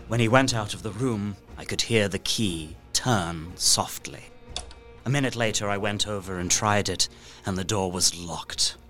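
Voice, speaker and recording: A man's voice: lowish voice, a little slow, music in the background, lightly acted. An actor playing a distressed young captive narrating his journal in an audio drama, earnest, intense and formal. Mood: hushed dread. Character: distressed young Englishman imprisoned in a castle, journaling.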